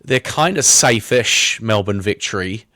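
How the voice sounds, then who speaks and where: A man's voice: fairly low pitch, lively intonation, a little slow, sharp tone, no room sound, clean studio sound. A man hosting a football fan podcast, chatting casually and upbeat, often saying "lovely" and "mate". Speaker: podcast host. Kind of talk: casual chat.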